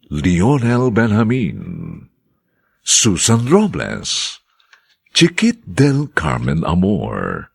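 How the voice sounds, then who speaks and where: Deep, gravelly, dramatic male announcer. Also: no echo. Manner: theatrical, solemn announcing. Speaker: radio drama narrator and announcer. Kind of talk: dramatic scripted narration and credits.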